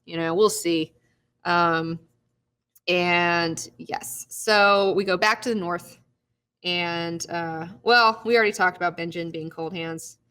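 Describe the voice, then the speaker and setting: A woman's voice: on the low side, steady tone, takes it slowly, pushed and bright, clean tone. A young woman hosting a live recap show, chatting casually, laughing often and swearing freely.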